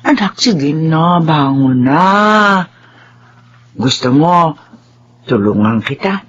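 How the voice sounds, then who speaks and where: Dull, humming lo-fi audio carries a doting mother in a radio drama. She coaxes slowly and anxiously, her pitch swinging up and down as she pleads. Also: animated, a little hiss or hum. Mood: coaxing, tender. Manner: coaxing, pleading.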